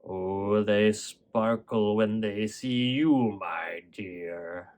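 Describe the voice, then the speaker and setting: A man voicing a sly wolf who poses as a frail old grandmother, coaxing slowly in a low, hushed, breathy voice. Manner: slow, coaxing, drawn-out endearments. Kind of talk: storybook character voice in oral storytelling.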